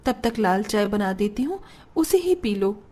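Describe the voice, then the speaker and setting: A woman's voice: medium pitch, sing-song, measured pace, dark tone. A woman voicing a poor, weary, lamenting family woman in a melodramatic Hindi cartoon story. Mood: resigned. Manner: plaintive, melodramatic.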